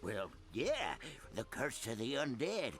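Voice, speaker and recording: Folksy, animated rural American yokel. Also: male voice, mid-range voice, takes it slowly, faint music behind, heavily acted. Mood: folksy, uneasy. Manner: folksy, rambling, muttering. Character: folksy, grumbling, timid small-town island mechanic. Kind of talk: video game cutscene acting.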